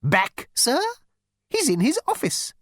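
Shrill, prissy insect receptionist. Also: female voice, wildly swooping pitch, slow, breathy edge, no echo, studio quality, heavily acted. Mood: icy. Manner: icy, haughty, flouncing.

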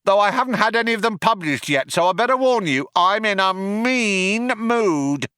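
Sidekick space cop, read by a man: very high, sharp shouting, eager, storybook sci-fi comedy. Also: animated, normal speed, clear, resonant tone, dry recording, studio quality. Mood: eager menace. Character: second male alien cop, eager, chiming in, literary pretensions.

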